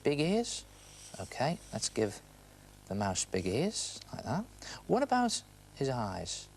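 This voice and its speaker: A British man hosts a children's drawing lesson with a very lively, swooping delivery. His pitch sits at an average level, and he chats playfully to the viewer, posing questions as he goes. There is some noise in the recording. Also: takes it slowly. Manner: chatty, asks the viewer questions and answers them; animated, playful emphasis. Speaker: presenter of a children's art TV show. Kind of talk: step-by-step drawing demonstration for children.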